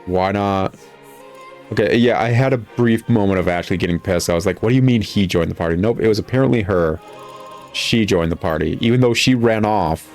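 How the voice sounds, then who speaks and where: Low-voiced man, sarcastic gaming commentary. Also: brisk, darkish tone, soft background music. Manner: sarcastic, exasperated, rambling. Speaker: gaming video host, critic. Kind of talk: casual, critical video game commentary.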